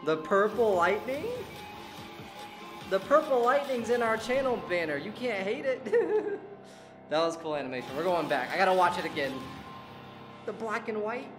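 Animated man reacting over music. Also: high voice, normal speed, pauses often. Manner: excitable, slangy, exclaiming. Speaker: reaction video co-host. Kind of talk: casual reaction chat. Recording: game soundtrack playing behind.

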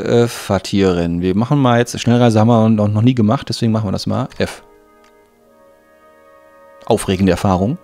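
A man's voice: lowish voice, darkish tone. A man giving casual gameplay commentary, thinking aloud in an offhand, colloquial way. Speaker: let's play host.

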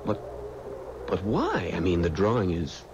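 An actor playing an earnest, courteous undertaker's assistant in a 1970s radio drama, emphatic and a little halting. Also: male voice, low voice, huge pitch swings, exaggerated voice. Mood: flustered, polite. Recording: dull, noisy old radio recording.

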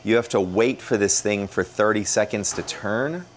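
A man in his thirties with an American accent, explaining in a relaxed way and giving a casual tour of a small bakery kitchen. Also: on the low side, moderate pace, soft background music. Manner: explanatory, relaxed, many "uh" fillers. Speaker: bakery owner.

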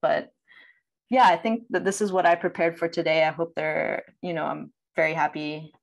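Low-voiced woman explaining in a presentation. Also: steady tone, normal speed, gravelly, lo-fi sound. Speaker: audio producer giving a presentation. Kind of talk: explanatory slide presentation on a video call. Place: indoors, on a video call. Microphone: video call microphone.

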